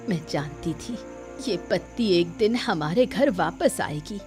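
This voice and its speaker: A woman voicing a determined old village mother in an animated moral story, firm and commanding. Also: on the low side, slow pace, faint music behind. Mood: resolute.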